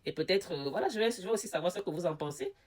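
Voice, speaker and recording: A woman's voice: lowish voice, expressive, racing, darkish tone. Woman commenting on news with a head cold. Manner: talks straight to viewers, repeats words for emphasis, grows emphatic on points. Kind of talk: casual news commentary to camera.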